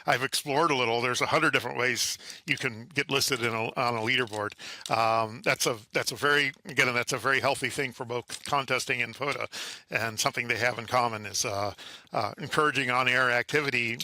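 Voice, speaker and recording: A man answering as an interview guest, explaining things conversationally in a halting way with frequent pauses and repeated words. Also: medium pitch, fairly even intonation, bright, forceful tone, no echo. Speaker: guest, amateur radio contester.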